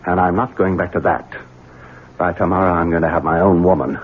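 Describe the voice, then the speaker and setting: An actor playing a selfish, evasive Southern bachelor heir in a 1970s radio drama, with a husky voice and an American Southern accent. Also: male voice, low-pitched, steady tone, a little fast. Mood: irritable, cold. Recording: muffled 1970s radio broadcast.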